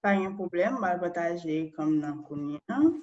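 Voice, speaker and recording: A woman's voice: lowish voice, animated, moderate pace, a little mellow. Woman, Haitian accent, data analyst, online presentation, explanatory, lo-fi video call audio. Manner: explanatory, ends phrases with a questioning tag.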